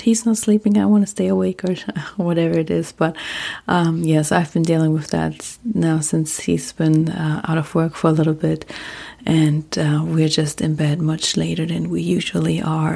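A woman whose first language is German, talking softly and partly in a whisper, with frequent ums, as host of a sleep podcast. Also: low voice, gravelly, husky. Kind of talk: soft-spoken casual monologue.

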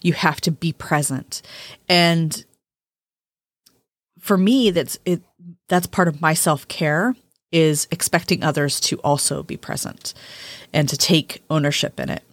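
Woman podcast co-host, lowish voice, dry close mic, chatty and laughing, casual chat. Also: a little slow, pauses often, no room sound. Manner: chatty, laughs often, repeats words as she thinks.

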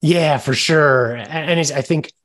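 A man's voice: on the high side, unhurried, a bit bright. A man hosting a podcast, interviewing in a casual, conversational way with chatty, affirming remarks.

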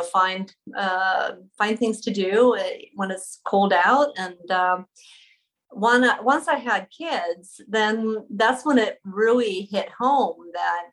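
A woman's voice: mid-range voice, slow, fairly bright tone, low-quality recording. A woman from Sweden, a podcast guest telling her story conversationally and searching for words. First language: Swedish.

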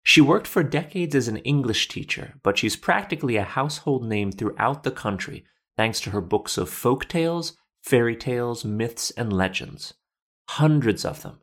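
A man hosting a podcast, reading scripted documentary narration in a measured, literate, explanatory way. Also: medium pitch, animated, fairly bright tone.

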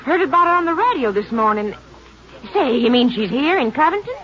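An actress playing a talkative small-town lunch counter woman in a 1950s radio drama, with folksy, drawn-out musing. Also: sits high, sing-song. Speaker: lunch counter worker at a bus depot. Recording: dull lo-fi old radio broadcast with faint hiss.

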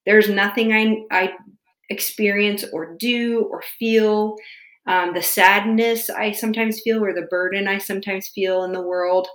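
A woman's voice: mid-range voice, fairly even intonation, unhurried, a bit bright, reverberant. A woman in her thirties talking reflectively in a casual faith conversation, searching for words as she goes. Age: 30s.

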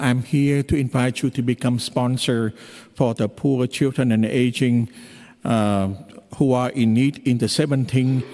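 Vietnamese-accented priest in an echoing church. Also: male voice, mid-range voice, darkish tone. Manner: halting, with frequent fillers. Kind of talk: homily. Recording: long hall reverb in a church.

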